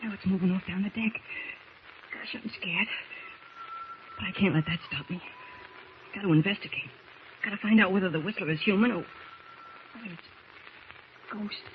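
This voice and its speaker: A muffled, noisy old radio recording. A male actor plays an earnest teenage boy with a high voice. He talks in wholesome exclamations like a plucky young adventurer of a radio serial. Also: normal speed, long pauses, natural voice. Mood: anxious, plucky. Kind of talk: 1940s radio drama acting.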